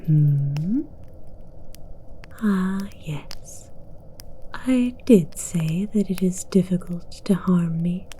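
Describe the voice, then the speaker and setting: A woman voicing an imperious, amused dragon queen in a breathy, teasing whisper for an ASMR roleplay, speaking in a regal, formal way. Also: lowish voice, extremely slow, pauses often, dark tone, a little hiss or hum, rough recording. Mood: probing, teasing.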